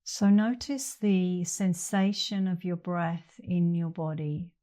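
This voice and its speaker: A middle-aged woman leading a guided meditation as an instructor, speaking gently and leaving long pauses between phrases. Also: fairly low pitch, fairly even intonation.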